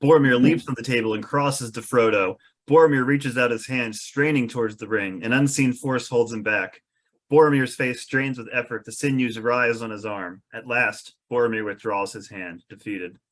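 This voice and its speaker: A man reading scripted stage directions for a fantasy screenplay as narrator, in measured storytelling style. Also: medium pitch.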